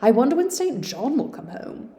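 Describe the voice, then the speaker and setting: A woman reading aloud lends her voice to a pair of studious young sisters, polite and refined. The voice stays mellow and well-spoken, with a roomy echo around it. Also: fairly high pitch, very animated, moderate pace, pitched above the performer's own voice.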